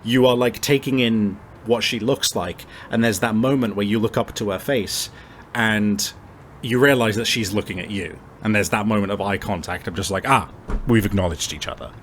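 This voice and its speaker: A man narrating a tabletop roleplay game as game master, improvising in a halting, conversational way. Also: lowish voice, slight background noise. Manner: halting, conversational, frequent searching pauses.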